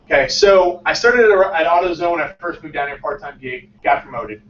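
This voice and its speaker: A man's voice: a little high, animated, a little fast, fairly bright tone. A man in his late twenties giving a casual talk about his startup to a small crowd, acting out the dialogue in his stories and laughing often. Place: small crowded meeting room, indoors. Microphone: far camera, no visible mic. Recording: distant, roomy, dull lo-fi sound.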